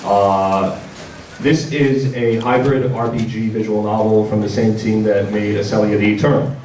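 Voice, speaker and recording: A man giving an informal convention panel presentation in a hesitant, halting way. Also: lowish voice, flat delivery, a little mellow. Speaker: panel presenter for a game publisher. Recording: distant mic in echoey, noisy room.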